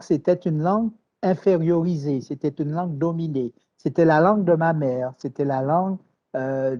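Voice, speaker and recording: A man answers in a calm, reflective interview manner. He pauses, repeats words and hesitates as he thinks aloud. His voice is warm and mellow, and the recording sounds dull and lo-fi. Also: fairly high pitch. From Martinique. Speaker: writer, interview guest.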